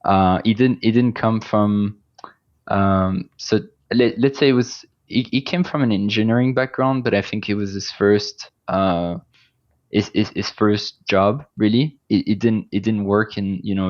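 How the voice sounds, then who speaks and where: A man's voice: on the low side, fairly even intonation, unhurried, dull audio. A man with a French accent, a company founder talking as a guest in a casual interview, hesitant with many restarts and fillers.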